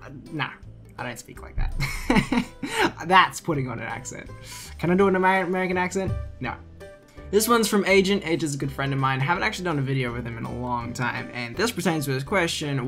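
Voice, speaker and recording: A young man from Queensland with an Australian accent, answering viewer questions in a chatty, relaxed gaming vlog full of fillers. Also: on the high side, a little fast. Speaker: gaming YouTuber. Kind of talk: casual Q&A vlog. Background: faint guitar music.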